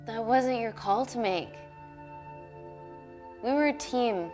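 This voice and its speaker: A woman's voice: on the high side, lively intonation, takes it slowly, over music. An actress playing a serious, hurt woman doctor in a film thriller, speaking in a terse, weary, earnest way. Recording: film score clearly behind voice.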